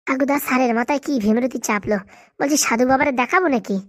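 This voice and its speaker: A woman's voice: sits high, fast, dry recording, studio quality. A woman voicing a scheming, fretful male village sidekick in a comic cartoon, chattering deferentially in dialect. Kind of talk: comic Bengali cartoon dialogue.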